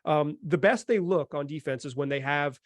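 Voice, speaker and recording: A man's voice: fairly high pitch, moderate pace, no room sound. A man hosting a sports podcast, talking informally and repeating phrases for emphasis, close on the microphone. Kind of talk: casual sports talk and analysis.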